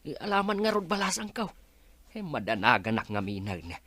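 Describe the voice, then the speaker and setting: A man's voice: mid-range voice, big pitch swings, fairly quick, bright, forceful tone, no room sound. An actor playing a worried, humble old village father in a radio drama. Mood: worried, pleading.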